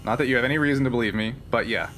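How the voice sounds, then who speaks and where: Man acting a weary male smuggler, tabletop game, frank, halting, earnest, bright tone, faint noise. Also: mid-range voice, natural voice. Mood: resigned, earnest.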